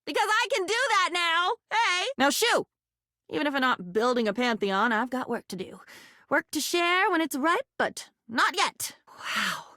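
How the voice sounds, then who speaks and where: High, theatrical, whimsical living diary. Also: female voice, big pitch swings, pushed and bright, no echo, studio quality, full character voice. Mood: amused, excited. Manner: theatrical, halting, poetic musing with sudden bursts. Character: sentient diary woman, intense, whimsical, newly self-aware. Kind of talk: video game character voice acting.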